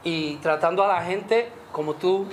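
A man's voice: sits high, a little slow, a little hiss or hum. A man from New York, a salsa singer, speaking in a friendly, modest, chatty way at an indoor press conference. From New York, USA. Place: indoor restaurant, seated at a table. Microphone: table microphones, later handheld mic.

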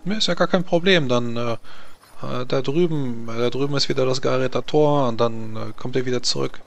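A man playing a pushy provincial blacksmith touting his forge in halting sales patter, in a tabletop role-play. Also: mid-range voice, gravelly, soft background music, natural voice. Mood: obliging.

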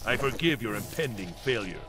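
An actor playing a stern, formal thunder god and mentor in a fighting game. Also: male voice, mid-range voice, expressive, a little fast, noisy background, close to an everyday voice. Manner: grave, formal. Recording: music behind the voice.

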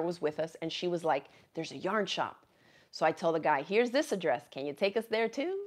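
Bubbly miami woman in her 30s chatting live at a desk camera, laughs a lot, spanish is her first language, small craft room. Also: mid-range voice. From Miami, USA. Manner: chatty and animated, laughs often, reads viewer comments aloud. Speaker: craft channel host. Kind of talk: casual live chat. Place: small indoor craft room with quilts on the wall.

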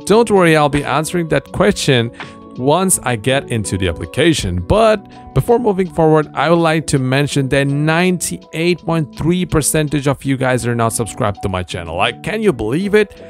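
A man narrating a scripted software tutorial as host, with an emphatic, sing-song instructional delivery. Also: a little high. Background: background music.

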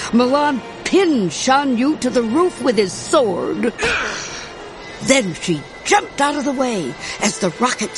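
A woman's voice: high-pitched, poor audio. A woman narrating a children's storybook as a scripted read-aloud. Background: music.

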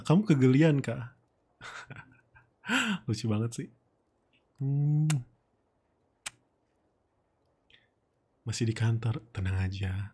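Man playing a breathy, mellow, flirtatious boss. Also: medium pitch. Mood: playful, reassuring.

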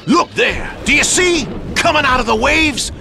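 A man's voice: extremely high pitch, lively intonation, moderate pace, noisy background. A man playing an appalled, theatrical, old-fashioned man who declaims warnings in a horror video game. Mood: alarmed, appalled. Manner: archaic, declamatory. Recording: loud music and gunfire over voice.

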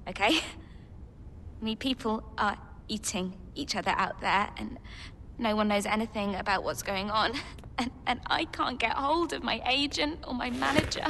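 An actress playing a bossy, guarded, frazzled woman in a dramatic game cutscene, talking in a sharp, exasperated way. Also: on the high side, normal speed, creaky, a little hiss or hum. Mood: guarded, weary. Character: bossy, frazzled, guarded woman actor sheltering in her home. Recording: long reverb, music underneath.

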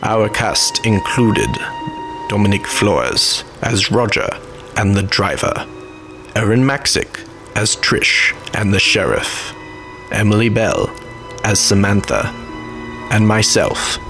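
A man hosts a radio theatre show, reading scripted credits in a fairly low voice. Music plays clearly behind him. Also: animated, slow pace. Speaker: host and director. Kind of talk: scripted closing narration.

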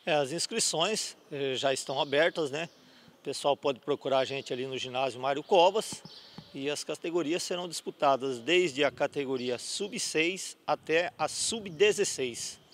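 A man's voice: a little high, normal speed, fairly bright tone, slight background noise. Middle-aged man explaining a youth tournament. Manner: explanatory, with fillers. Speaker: youth futsal organizer. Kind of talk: interview. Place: indoor sports gym. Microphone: handheld reporter mic.

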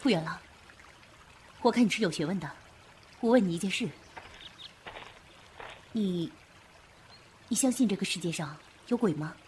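A woman's voice: lowish voice, lively intonation, moderate pace, long pauses, darkish tone, slight background noise, close to an everyday voice. A woman playing a superstitious, jumpy, outspoken grave robber in a wartime audio drama. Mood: brusque. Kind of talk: Chinese audio drama acting.

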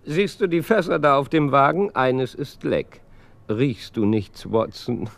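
An actor playing a shrewd, self-assured master detective in a radio play, commanding, wry and deliberate. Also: male voice, mid-range voice, vocal fry, no room sound. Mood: wry, probing.

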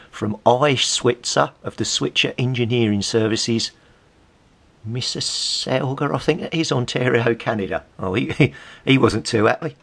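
A middle-aged Englishman with a lowish, creaky and husky voice. He gives a casual, chatty commentary, reading passages aloud and adding wry asides in an English accent. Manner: chatty, wryly amused asides. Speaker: vlogger, CB radio hobbyist. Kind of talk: casual commentary while leafing through old magazines, reading bits aloud.

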